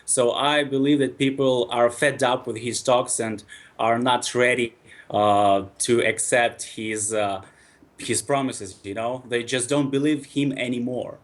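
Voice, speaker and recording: A man's voice: mid-range voice, steady tone, a little slow. Earnest man. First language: Russian. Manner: earnest, hesitant with many fillers. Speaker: caller from Ukraine. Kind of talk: radio phone-in debate.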